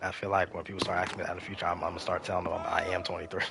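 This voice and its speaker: A man's voice: low voice, little pitch movement, rapid-fire, gravelly, heavy background noise. A man in his thirties chatting casually and rambling, repeating himself as he streams a game. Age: 30s. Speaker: gaming streamer. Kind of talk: casual chat while playing a game.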